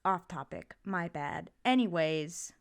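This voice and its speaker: Lady acting as a sweet supportive mom in an asmr roleplay, really slow talker, gentle n reassuring, rambles a bit. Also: on the low side, animated. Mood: tender, reassuring. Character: supportive, doting, chatty mother comforting her child. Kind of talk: ASMR roleplay, intimate one-sided conversation.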